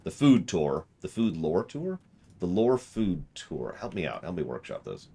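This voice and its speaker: A man's voice: low voice, big pitch swings, measured pace, mellow, a little hiss or hum. A man streaming a game, chatting casually with viewers and rambling in a self-deprecating way. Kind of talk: casual live-stream chat while gaming.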